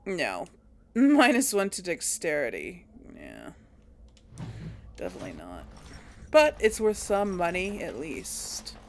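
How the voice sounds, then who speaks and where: Woman let's-play host, lively pitch, unhurried, thinks aloud with chuckles. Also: slight background noise. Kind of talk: casual gameplay commentary.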